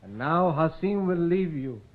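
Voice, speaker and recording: Tinny noisy vintage radio, solemn male attendant, high-pitched, ceremonious, servile. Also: lively intonation, a little slow. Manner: formal, ceremonious, ominous. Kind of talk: old-time radio drama acting.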